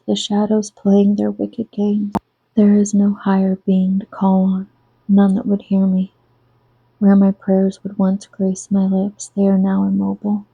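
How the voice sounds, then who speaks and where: A woman reads her own novel in a hushed, soft-spoken ASMR style, her voice deep and mellow with a husky, breathy edge. The recording is dull and lo-fi, close-miked in a dry room. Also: no echo.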